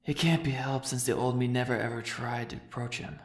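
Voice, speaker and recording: A man's voice: medium pitch, steady tone, fairly quick, close to an everyday voice. A man voicing an awkward, well-meaning father with a hesitant manner in a comic fan dub. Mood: awkward, coaxing. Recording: roomy echo under music.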